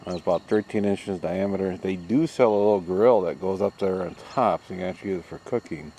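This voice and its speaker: A middle-aged man chatting casually and unscripted for a vlog, explaining things in a rambling, halting way. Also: low voice, mellow. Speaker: camping and ham radio vlogger. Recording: distant mic, background noise.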